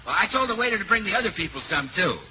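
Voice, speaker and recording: A man's voice: high voice, very animated, racing. A man as a brash radio announcer in a 1940s radio comedy. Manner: brash, salesy. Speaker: radio announcer and comic actor. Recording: dull old radio recording with clear hiss.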